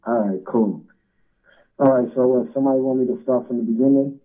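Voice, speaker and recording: A man with a New York accent and a husky, monotone voice, speaking casually as a phone interview guest over a muffled line. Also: mid-range voice, brisk. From Brooklyn, New York. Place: at home on a phone. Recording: muffled phone line, faint music behind.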